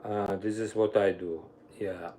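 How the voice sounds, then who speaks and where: A middle-aged man with an Armenian accent, a violinist chatting casually with viewers on a live stream, pausing to search for words in his third language. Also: fairly low pitch, steady tone, warm tone, slight background noise. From Armenia, grew up in the Soviet Union. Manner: searches for words, fillers, broken grammar. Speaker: livestream host, violinist.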